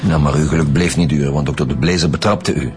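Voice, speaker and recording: An actor with a Flemish accent playing a dry, probing police detective in a radio drama. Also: male voice, very deep voice, fairly even intonation, quick pace, music in the background.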